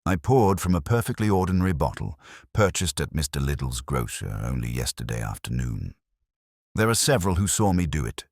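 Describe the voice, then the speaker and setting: A composed, faintly indignant lady treasurer who weighs every word, as a man reads her. The voice sits very low yet moves with a lively lilt. The recording is dry and close. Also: a little fast, creaky, no echo, heavily acted. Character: prim, self-assured middle-aged woman treasurer.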